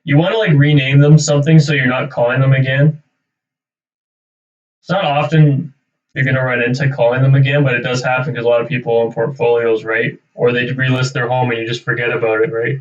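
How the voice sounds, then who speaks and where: Young guy rambling on a livestream, thinking out loud, says right? a lot, sounds kinda far from the mic and a bit muffled. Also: mid-range voice, steady tone, a little fast. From Canada. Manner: rambling, thinking aloud, tags sentences with "right?". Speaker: realtor hosting a live cold-calling stream. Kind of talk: casual off-the-cuff livestream chat. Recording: distant, slightly dull microphone.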